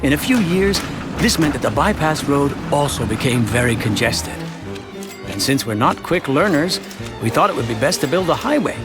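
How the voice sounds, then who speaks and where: A man playing a chatty, wry middle-aged suburban family man who narrates his town's story in an animated short. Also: a little high, moderate pace. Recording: music behind the voice. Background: music.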